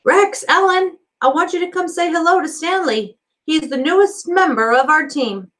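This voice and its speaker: A woman's voice: extremely high pitch, moderate pace, fairly bright tone, voice pushed up. A woman reading the part of an earnest, encouraging male camp counselor in a storybook, with emphatic delivery. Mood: calling out.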